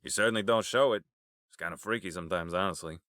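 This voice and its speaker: An actor playing an easygoing, streetwise, affectionate uncle in an audio drama, talking in a familiar, colloquial way. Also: male voice, sits low, fast talker, a bit bright, no echo, studio quality. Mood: frank, encouraging.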